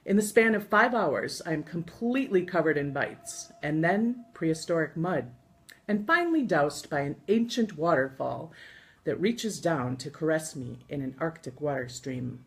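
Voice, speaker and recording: An American woman reading her own scripted travel memoir aloud in an expressive storytelling manner, with deliberate pauses. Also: low voice. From United States. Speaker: author reading from own book.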